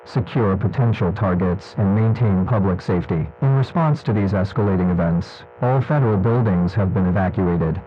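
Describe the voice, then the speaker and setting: Low-voiced man reading an emergency alert. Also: highs cut off. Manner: formal, measured. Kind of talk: scripted emergency alert narration.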